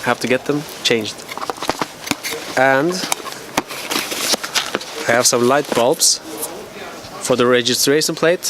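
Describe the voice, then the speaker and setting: A man's voice: medium pitch, slow pace, long pauses. A man giving casual running commentary as he repairs his car outdoors, swearing freely and talking to himself. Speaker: vlogger doing car repairs. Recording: loud outdoor noise.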